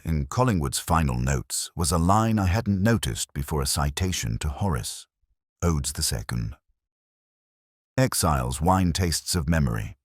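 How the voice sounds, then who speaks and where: Male narrator for literary detective fiction: bass-deep, expressive intonation, reflective delivery, dry studio sound. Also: no echo. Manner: measured, reflective, literary storytelling. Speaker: narrator of a detective audio story. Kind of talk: scripted first-person narration, literary detective story.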